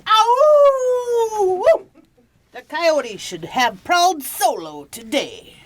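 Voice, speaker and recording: A woman's voice: extremely high pitch, sing-song, full character voice. A woman playing a wild rock DJ in an audio drama, with theatrical whoops and howls. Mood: exuberant. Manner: theatrical whoops and drawn-out howling delivery. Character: wild howling rock DJ nicknamed Coyote.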